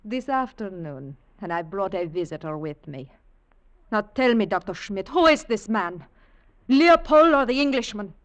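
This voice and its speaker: A woman's voice: mid-range voice, lively intonation, moderate pace, muffled recording, close to an everyday voice. An actress playing an intense, accusing woman with theatrical emphasis in 1940s radio melodrama. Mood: pointed, triumphant. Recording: old 1940s radio broadcast.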